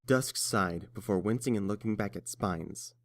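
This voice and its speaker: A man's voice: lowish voice, normal speed. A man reading scripted story narration as the narrator.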